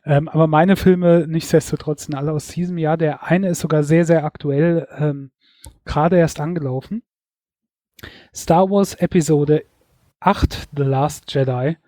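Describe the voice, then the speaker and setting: A man's voice: fairly high pitch, fairly even intonation, a little slow, mellow, no room sound, clean studio sound. A man co-hosting a podcast, chatting casually through a year-in-review of films, hedging with fillers. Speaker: podcast co-host.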